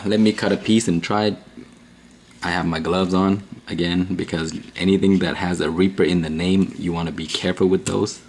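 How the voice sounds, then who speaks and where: Deep-voiced guy in his 30s rambling casually at his kitchen table, lots of uhs, faint room hum. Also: darkish tone. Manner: easygoing, rambling with many fillers and restarts. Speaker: pepper reviewer, vlog host. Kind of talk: casual chatty vlog review. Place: small indoor room at a table.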